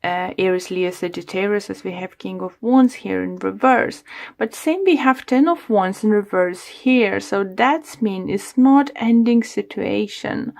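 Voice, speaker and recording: A woman giving a rambling tarot reading, with loose phrasing, lots of fillers, and a voice that is going hoarse. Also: mid-range voice, darkish tone. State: losing her voice. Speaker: tarot reader. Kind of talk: solo tarot reading to camera.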